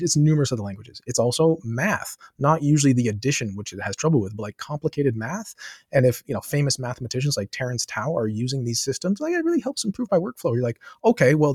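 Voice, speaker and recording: Fast-talking husky-voiced man. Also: medium pitch, darkish tone, no room sound, studio quality. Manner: thoughtful, rambling with many asides and restarts. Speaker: podcast guest. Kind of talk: conversational interview answers.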